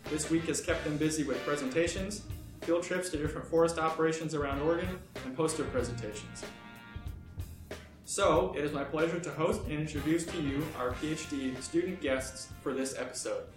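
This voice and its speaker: A man's voice: fairly high pitch, brisk, reverberant, music in the background. A man hosting a podcast, welcoming listeners and introducing student guests in an upbeat way. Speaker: podcast host. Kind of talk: scripted podcast intro and interviewing.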